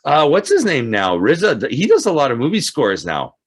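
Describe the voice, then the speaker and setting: Man, lively mid-pitched voice. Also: brisk, husky. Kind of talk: casual chat.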